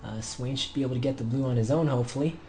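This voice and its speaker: A young man commentating his own online match, shouting through the fights and calm in between, his voice going hoarse. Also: mid-range voice, very fast, music in the background. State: losing his voice. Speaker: gameplay commentator. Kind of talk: excited gameplay commentary.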